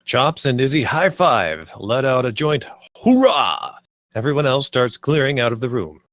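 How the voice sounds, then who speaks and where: A man's voice: mid-range voice, very animated, measured pace, a bit bright, dry recording. Dry-sounding man narrating a script. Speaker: narrator reading stage directions. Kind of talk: scripted narration at a table read.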